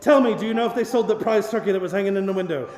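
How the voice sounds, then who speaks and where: A man's voice: high-pitched, racing, darkish tone, lightly acted. A man playing an old miser reformed into giddy generosity in a theatrical radio play, exclaiming and repeating his words. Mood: overjoyed. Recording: echoey reverberant hall sound, music underneath. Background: music.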